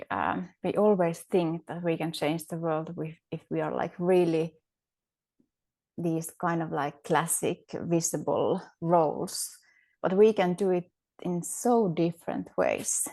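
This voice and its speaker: A woman's voice: on the low side, gravelly, darkish tone. A woman with a Finnish accent, a podcast guest speaking thoughtfully, often searching for words with fillers and restarts. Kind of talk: reflective interview conversation.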